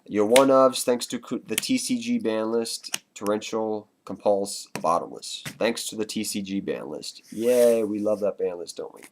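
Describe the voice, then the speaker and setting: Male vlogger, very low pitch, stuffy nose from a cold, chatty and loose delivery to viewers. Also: measured pace, few pauses, noisy background. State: congested with a cold. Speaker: card game vlogger. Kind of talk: casual deck explanation to viewers.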